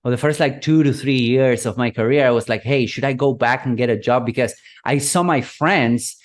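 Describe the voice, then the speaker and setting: Argentine guy, 30s, relaxed storyteller, chatty with lots of fillers. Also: medium pitch, moderate pace. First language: Spanish. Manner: relaxed, rambling with many fillers like "you know" and "whatnot". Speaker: guest, digital marketing consultant. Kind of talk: interview guest, storytelling.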